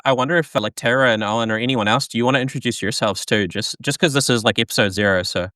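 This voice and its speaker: A man's voice: medium pitch, sharp tone, dry recording, studio quality. A man hosting a podcast over a video call, upbeat and loose, with lots of fillers. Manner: upbeat, filler-heavy, quick. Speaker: podcast host.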